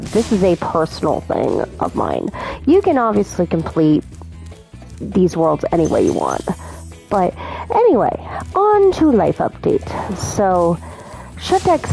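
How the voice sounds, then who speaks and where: A woman's voice: on the high side, gravelly, warm tone, low-quality recording. Chatty woman narrating her gameplay. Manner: chatty, apologetic, rambling. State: slightly off voice from illness. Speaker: gaming channel host. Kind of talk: casual let's play commentary.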